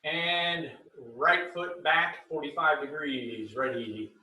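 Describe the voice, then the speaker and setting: A man leads a martial arts drill over a video call and calls out each move at an unhurried pace. His voice is bright and a bit higher than most men's. He sits back from the microphone in an echoey room. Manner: calls out commands, relaxed and explanatory. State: bothered by low back pain. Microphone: computer mic on a video call. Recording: roomy video call audio from a distance.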